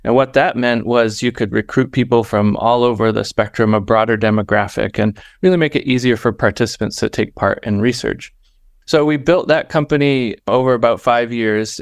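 A middle-aged man, a researcher and company co-founder, telling his story in an easy, brisk, conversational way. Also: mid-range voice, creaky, no echo, studio quality. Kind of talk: conversational interview answers.

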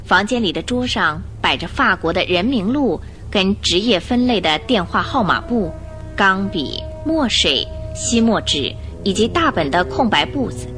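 A woman narrating a radio drama, reading a scripted story in an expressive, dramatic storytelling manner. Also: mid-range voice.

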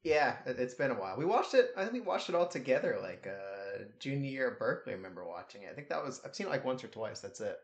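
A young man with a mid-range voice, co-hosting a casual sports podcast. He talks in a relaxed, easygoing way and likes to tease his co-host. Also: medium pitch, fast, hardly any pauses, highs cut off. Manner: teasing, easygoing.

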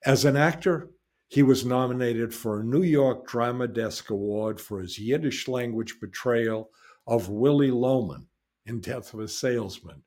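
A man's voice: mid-range voice, a little slow, darkish tone. A male podcast host reading a formal, scripted introduction of his guest, then asking questions. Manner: formal, announcer-like delivery.

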